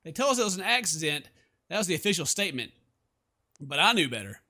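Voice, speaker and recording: A man's voice: a little high, sing-song, fast, bright, forceful tone, slight background noise. A man hosting a gaming video, reading story text aloud and commenting in a jokey, theatrical way. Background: game music.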